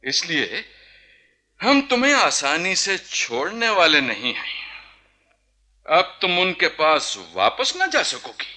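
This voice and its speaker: An actor playing a young, suspicious and commanding Nawab in a Hindi historical radio play, measured and regal in manner. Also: male voice, a little high, huge pitch swings, pushed and bright, exaggerated voice. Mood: taunting, suspicious.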